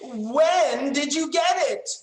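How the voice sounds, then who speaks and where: A woman's voice: high voice, takes it slowly, darkish tone, roomy sound. A woman playing an earnest, defiant young male time traveler in a melodramatic sci-fi script reading, often bewildered and indignant. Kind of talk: live dramatic reading of a sci-fi screenplay.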